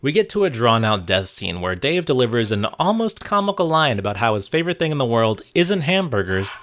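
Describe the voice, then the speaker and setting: Male video essayist, mid-pitched and very animated, conversational scripted commentary with a sarcastic edge. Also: brisk.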